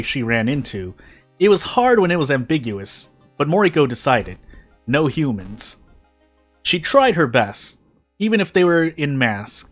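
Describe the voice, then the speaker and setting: A man narrates a written story in a somewhat high voice. His intonation swings widely up and down as he moves through short, deliberate phrases. Music plays faintly behind him. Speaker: fan fiction reader on a video channel. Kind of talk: reading a story aloud.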